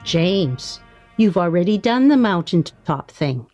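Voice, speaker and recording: An actress in a radio play playing a contented middle-aged wife, demurring in an understated way. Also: female voice, fairly low pitch, sing-song, slow, a little mellow, soft background music. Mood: wistful, matter-of-fact.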